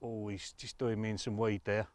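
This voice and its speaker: A man's voice: fairly low pitch, flat delivery, moderate pace, darkish tone, dry recording. A middle-aged man presents informal fishing tips outdoors in a matter-of-fact, explanatory way. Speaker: angling presenter. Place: outdoors on a lakeside bank.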